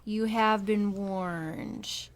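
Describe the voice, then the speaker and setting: A woman's voice: mid-range voice, steady tone, drawn-out words. A woman hosting a podcast, with a mock-formal, announcer-like manner. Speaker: podcast host. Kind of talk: podcast chat.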